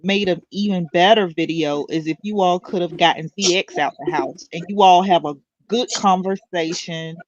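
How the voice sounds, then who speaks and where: Stream host, mid-pitched voice, dull lo-fi audio, dry sound, measured questions. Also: no echo. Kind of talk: casual chat.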